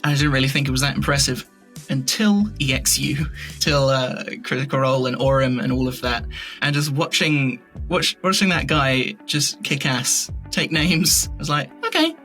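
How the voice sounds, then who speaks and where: A man with a bright, crisp-edged voice at a middle pitch talks casually as an interview guest. He tells things as little stories, slipping into quoted asides of his own thinking. Faint music plays underneath. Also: expressive, normal speed. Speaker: voice actor and audio drama creator. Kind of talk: casual podcast chat.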